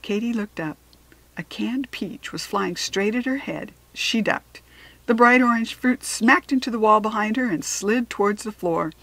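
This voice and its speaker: An American woman narrating a children's story expressively, with deliberate dramatic emphasis on key words. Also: mid-range voice, fairly bright tone, dry recording, rough recording. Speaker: storybook reader.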